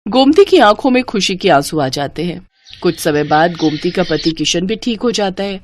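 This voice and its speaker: A woman narrating a scripted animated moral story in a steady, plain storytelling manner. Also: fairly low pitch, normal speed, slight background noise.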